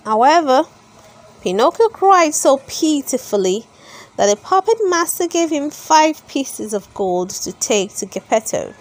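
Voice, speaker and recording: Very animated high-voiced woman reading a storybook. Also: poor audio. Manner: slow, deliberate, animated storytelling for children. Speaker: storybook reader. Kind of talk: expressive read-aloud of a children's story.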